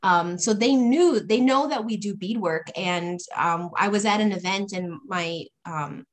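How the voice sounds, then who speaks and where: A woman guest on a podcast telling stories in a relaxed, thoughtful way, often pausing with "um". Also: mid-range voice. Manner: thoughtful, hesitant with frequent ums. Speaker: interview guest. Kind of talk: casual conversational storytelling.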